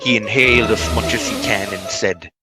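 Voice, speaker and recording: A man's voice: fairly low pitch, fairly even intonation, normal speed. A man narrating a storybook tale from a script. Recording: music under the voice.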